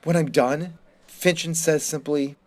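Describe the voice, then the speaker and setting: Male narrator for first-person teen fiction, voicing a wounded, resentful student; pitch a little above average. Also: steady tone, measured pace, darkish tone. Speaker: reader of a short story.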